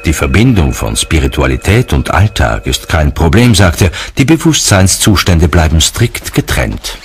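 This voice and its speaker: A man's voice: extremely low pitch, big pitch swings, moderate pace. A man reading scripted narration for a documentary.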